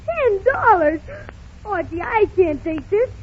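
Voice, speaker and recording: A woman's voice: very high-pitched, sing-song, slow pace, full character voice. A woman playing a poor, polite young newsboy with artless street-kid speech in a 1930s radio drama. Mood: awed, grateful. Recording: muffled 1930s radio recording.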